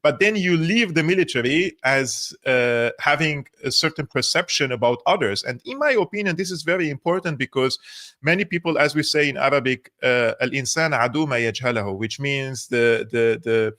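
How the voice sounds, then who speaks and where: A man from Aleppo with a light Armenian-Syrian accent, hosting an interview in a thoughtful, halting way. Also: mid-range voice, fairly bright tone. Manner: thoughtful, halting, frequent restarts and fillers. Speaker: interview host. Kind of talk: conversational interview.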